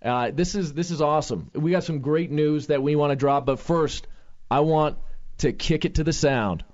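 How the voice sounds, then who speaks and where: A man's voice: a little high, normal speed, darkish tone. A man hosting a sports radio show, talking energetically with many fillers and restarts. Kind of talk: casual sports radio talk. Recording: lo-fi radio studio sound.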